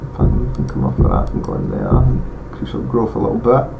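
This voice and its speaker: A man's voice: medium pitch, fast, gravelly. A man with an accent, casually thinking aloud as he plays a strategy game and comments on his moves. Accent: regional accent he says some may not follow. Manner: relaxed, thinking aloud, mumbled asides. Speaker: gaming channel host. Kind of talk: let's play commentary. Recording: dull lo-fi home mic with loud hiss.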